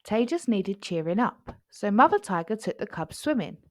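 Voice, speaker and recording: Animated woman reading a children's story. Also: medium pitch, moderate pace, no room sound, studio quality. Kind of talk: expressive scripted storybook narration.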